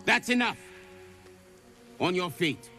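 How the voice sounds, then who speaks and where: An actor playing a harsh githyanki guard who barks orders at prisoners. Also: male voice, high voice, big pitch swings, takes it slowly, pushed and bright, faint music behind, full character voice. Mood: commanding. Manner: barking orders.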